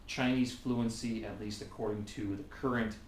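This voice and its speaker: A man hosting a podcast, chatting casually with quick interjections and short laughs. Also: on the low side, steady tone. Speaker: podcast host. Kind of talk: casual conversation. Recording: echoey room with faint noise.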